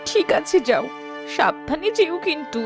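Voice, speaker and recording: A woman's voice: high-pitched, big pitch swings, moderate pace, music in the background. A woman voicing a yearning, plaintive childless village wife in a melodramatic cartoon fairy tale. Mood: hopeful. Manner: pleading, plaintive.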